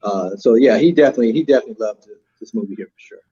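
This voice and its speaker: A man's voice: fairly low pitch, racing, mellow, a little hiss or hum. An older-sounding man in his fifties or more, chatting casually as a panelist over a phone video call. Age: 50s or older. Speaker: livestream panelist. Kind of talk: casual chat, reminiscing. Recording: dull, lo-fi call audio.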